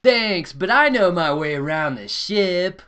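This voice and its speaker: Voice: medium pitch, animated, a little slow, pushed and bright, clear, resonant tone. A performer voicing a scruffy young male hippie stowaway in a comic sci-fi radio serial, eager to please.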